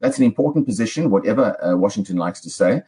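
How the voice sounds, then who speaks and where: A man with a South African accent and a mellow, relaxed tone gives political commentary as an interview guest. He is articulate and measured, working through long, carefully qualified sentences. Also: fairly low pitch, low-quality recording.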